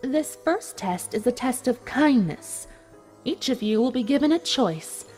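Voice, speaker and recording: A woman acts out a vengeful cartoon unicorn villainess in an audio drama. Her voice sits fairly high and she taunts with calculated, scornful deliberation. Soft music plays behind her. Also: expressive, measured pace. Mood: cold, scornful. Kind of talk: dramatic audio fan fiction acting.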